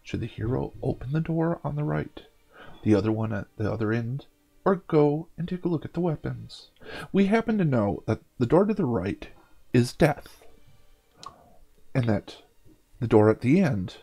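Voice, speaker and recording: A man's voice: medium pitch, gravelly, dark tone, breathy edge. A man hosting a gaming show, reading a fantasy story theatrically with casual commentary.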